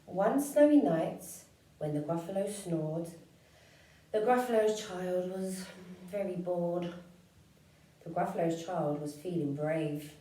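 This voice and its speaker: A woman reads a rhyming picture book to children in a slow, even rhythm with a mellow tone. She sounds far from the mic in a very echoey room. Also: fairly low pitch. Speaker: children's story reader.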